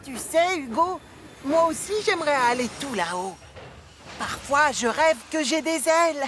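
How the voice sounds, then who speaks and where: A woman's voice: sits high, expressive, measured pace, background noise. A woman voicing a cheerful young male tank engine in a cartoon dub, speaking in a friendly, earnest way. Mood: wistful.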